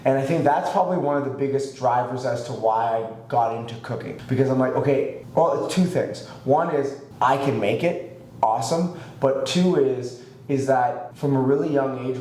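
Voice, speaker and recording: A man in his thirties from Canada, a chef telling his story in a casual podcast chat with lots of fillers. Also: mid-range voice. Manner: relaxed, rambling storytelling with many fillers. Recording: echoey room, faint ambient noise.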